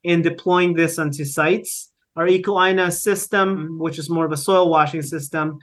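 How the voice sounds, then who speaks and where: A man's voice: fairly high pitch, fairly even intonation, moderate pace. A middle-aged man from the Philippines, explaining his work in an interview with many fillers and restarts. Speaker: guest, company founder. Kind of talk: conversational interview.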